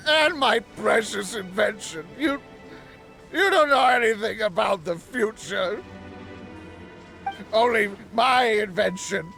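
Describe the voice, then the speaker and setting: An actor playing a drunken, deranged researcher in a video game, resentful and weepy, stammering in erratic outbursts. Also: male voice, sits high, animated, slow, creaky, long pauses, bright, forceful tone, soft background music, heavily acted, rough recording. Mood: bitter raving. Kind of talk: video game cutscene acting.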